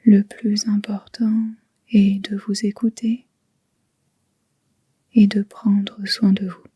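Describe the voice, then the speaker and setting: Slow, breathy near-whispering woman guiding relaxation. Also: medium pitch, little pitch movement, dry recording. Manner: slow, gentle, soothing, unhurried with long pauses. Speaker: yoga nidra guide. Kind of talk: guided relaxation meditation.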